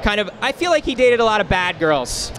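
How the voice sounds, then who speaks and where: A man's voice: high voice, very animated, a little fast, fairly bright tone, a faint crowd. A man interviewing guests in an informal, chatty way at a live convention event. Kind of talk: casual on-stage convention interview. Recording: faint noise of busy convention hall.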